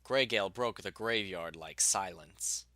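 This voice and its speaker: A male narrator reads scripted fiction. His voice is fairly low, yet bright and forceful, carrying the story in third person. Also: unhurried, no room sound, studio quality.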